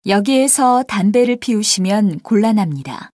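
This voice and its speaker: A woman reading scripted textbook dialogues with clear, careful enunciation, in a dry studio recording. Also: medium pitch, expressive, moderate pace, darkish tone, no echo. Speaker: textbook audio voice actor.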